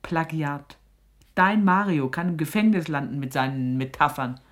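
A woman's voice: low voice, normal speed, close to an everyday voice. An actress playing a suspicious, scolding middle-aged mother with an earthy, sarcastic manner in a radio drama. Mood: stern, scornful. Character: stern, sharp-tongued, suspicious mother of a young woman.

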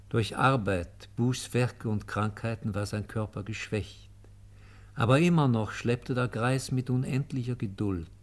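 A man narrating a scripted radio play in a solemn, measured storytelling manner. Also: on the low side, a little mellow.

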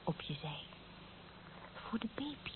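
An actress playing a loving, practical wife in a Dutch radio play, affectionate and emotional. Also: female voice, unhurried, close to an everyday voice. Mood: tender, intimate. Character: loving, practical wife, a hospital worker expecting a baby. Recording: noisy, tinny old radio recording.

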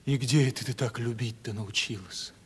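A man's voice: mid-range voice, moderate pace, breathy edge. An actor playing a simple, tender, anxious young provincial man in love in a 1970s Soviet radio play, folksy and earnest. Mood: aching tenderness. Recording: old radio play, faint music behind.

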